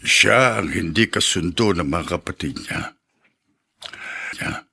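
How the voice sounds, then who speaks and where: A man's voice: deep voice, lively intonation, slow pace, creaky, bright, forceful tone, husky, heavily acted. A man playing an affectionate, ailing older father in a Filipino radio drama, with a Filipino accent. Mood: wistful, heavy-hearted.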